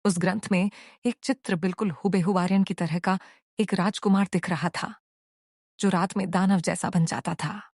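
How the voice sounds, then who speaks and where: A woman storyteller reading scripted, dramatic narration for an animated tale. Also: on the low side, normal speed, no echo, clean studio sound.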